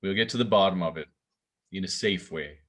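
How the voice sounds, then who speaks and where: A man reading the part of a guarded, measured police investigator at a drama table read. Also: low voice, a bit bright. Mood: guarded, serious.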